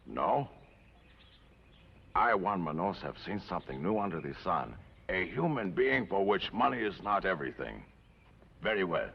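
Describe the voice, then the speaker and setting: An actor playing a grateful, wry Mexican outlaw of about forty-five in an old TV western, speaking formally and floridly with a Mexican accent. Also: male voice, low-pitched, vocal fry, full character voice. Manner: florid, formal speech sprinkled with Spanish. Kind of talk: 1950s television western acting. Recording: muffled, noisy old TV western soundtrack.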